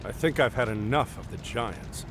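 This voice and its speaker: An actor playing an earnest, commanding admiral hero in a video game cutscene, speaking firmly and urgently. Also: male voice, medium pitch, moderate pace, a bit bright, a little hiss or hum, natural voice. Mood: steady, earnest.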